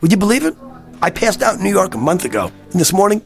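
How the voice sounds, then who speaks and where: A gravelly, brash wisecracking drinker. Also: male voice, sits high, very animated, fast, soft background music, exaggerated voice. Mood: boozy, chummy. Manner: brash, sarcastic, needling, streetwise slangy talk. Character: wisecracking, belligerent hard-drinking man on a long binge.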